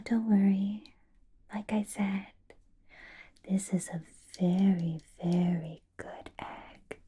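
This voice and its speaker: A woman playing a possessive, menacingly sweet vampire boss in a whispered ASMR roleplay, coaxing and polite with drawn-out words. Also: mid-range voice, mellow. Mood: polite, businesslike. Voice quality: breathy whisper.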